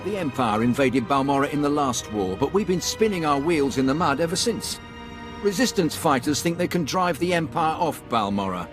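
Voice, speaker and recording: An actor playing a tough, confident Imperial commander in a video game, speaking bluntly and emphatically. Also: male voice, a little high, expressive, normal speed, over music, close to an everyday voice. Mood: grim, resolved. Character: tough, confident male Imperial special-forces commander, gruffly determined. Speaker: military commander character.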